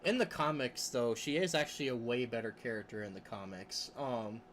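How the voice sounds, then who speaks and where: A man's voice: fairly quick, a bit bright, slight background noise. A man chatting casually on a livestream, rambling with restarts and laughing often between thoughts. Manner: says his voice range is pretty high. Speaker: streamer. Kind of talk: casual livestream chat, reading chat aloud.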